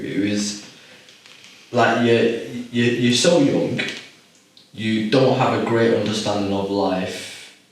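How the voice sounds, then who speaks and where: A man in his twenties from Iraq, talking calmly and reflectively as a podcast guest, with frequent ums. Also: lowish voice, very echoey, distant mic, slight background noise, low-quality recording. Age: 20s. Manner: calm, reflective, many ums. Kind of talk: casual interview.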